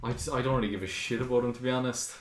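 A man's voice: medium pitch, steady tone, very fast, slight background noise. A young Irish man with a posh Dublin accent, a podcast guest trading dry, joking remarks with occasional swearing. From Ireland. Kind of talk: casual chat. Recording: echoey room.

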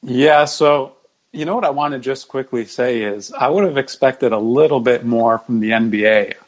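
A man's voice: medium pitch, moderate pace. A man speaking as a podcast guest in a casual sports discussion.

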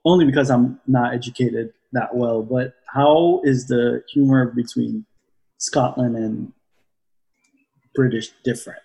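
A man interviewing casually, asking curious questions that trail off softly. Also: mid-range voice, steady tone, a little slow, creaky, rough recording.

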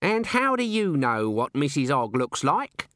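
A man's voice: fairly high pitch, big pitch swings, unhurried, fairly bright tone, no echo, clean studio sound, voice pushed up. A man voicing a stern, imperious old witch woman, curt and haughty, as an audiobook character. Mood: sharp, nosy.

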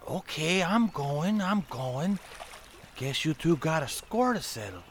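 A man playing a dim, obedient gangster henchman in a 1930s radio drama, hesitant and slow-witted. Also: on the high side, lively intonation, a little hiss or hum. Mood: puzzled, reluctant.

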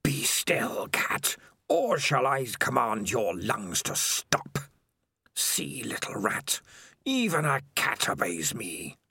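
A man voicing a sinister, hidden giant rat who speaks in a slow, hissing whisper. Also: mid-range voice, sing-song, gravelly, sharp tone, slightly rough, no echo, full character voice. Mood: menacing. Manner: slow, drawn-out, coaxing.

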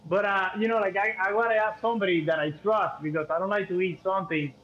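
Young Cuban man, high voice, animated chatty guest, echoey muffled room sound. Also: quick pace. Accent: Cuban Spanish. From Cuba. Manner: animated, repeats words, heavy on "like" and "you know". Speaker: podcast guest. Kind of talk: casual chat. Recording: dull echoey room audio with faint hiss.

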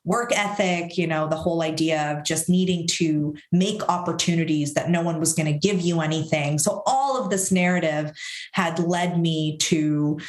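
A woman in her thirties speaking as an interview guest, frank and reflective about her past, sometimes rattling off events in quick runs. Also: low voice, reverberant. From Syria. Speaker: guest, author and professor. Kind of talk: candid interview conversation.

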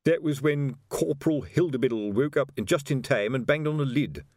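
A man's voice: mid-range voice, brisk, darkish tone, no room sound, clean studio sound, voice pushed up, close to an everyday voice. A man voicing a weary, authoritative veteran police sergeant in an audiobook. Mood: chatty. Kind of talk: audiobook character voice.

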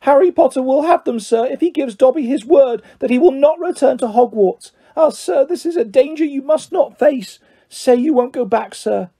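A man's voice: very high voice, moderate pace, dark tone, voice pushed up. A man voicing a small, anxious, servile male elf in an audiobook, pleading stubbornly and speaking deferentially. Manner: speaks of himself in third person, deferential. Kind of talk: audiobook character voice.

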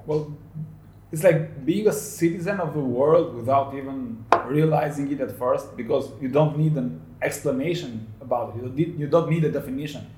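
A man's voice: medium pitch, normal speed, warm tone, a little hiss or hum. Casual male podcast host, echoey room. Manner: casual, loose questioning with many "like"s. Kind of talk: podcast interview.

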